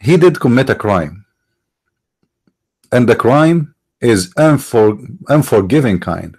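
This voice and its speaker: A middle-aged man with an Arabic accent hosting a commentary monologue, halting, restarting words and stressing his points. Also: medium pitch, animated, darkish tone, breathy edge. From Middle East. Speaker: online show host.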